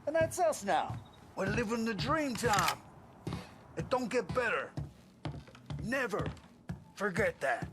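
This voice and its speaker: An actor playing a wistful American mobster looking back on his ambitions in a video game cutscene, with a drawn-out delivery. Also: sits high, big pitch swings, music in the background, full character voice.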